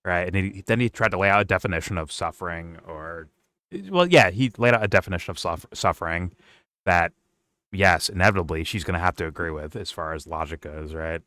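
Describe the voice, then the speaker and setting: Deep-voiced man hedging in casual chat. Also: fairly quick, pushed and bright, no room sound. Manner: thinks aloud, hedging with "right?". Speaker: host.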